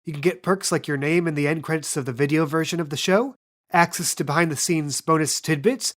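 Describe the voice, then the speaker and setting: A woman's voice: deep voice, a little fast. A woman hosting a tabletop podcast as game master, making casual, chatty announcements.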